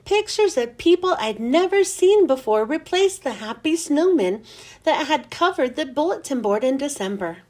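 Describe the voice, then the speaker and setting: High, sing-song woman reading a storybook. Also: big pitch swings, moderate pace, a little mellow. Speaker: children's book reader. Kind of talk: reading a children's chapter book aloud.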